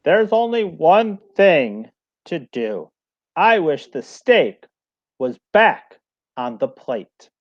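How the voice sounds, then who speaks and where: A man playing a poor, impulsive woodcutter husband in a storybook read-aloud, plain-spoken and expressive. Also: on the high side, slow pace, exaggerated voice. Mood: resolute. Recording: dull lo-fi recording.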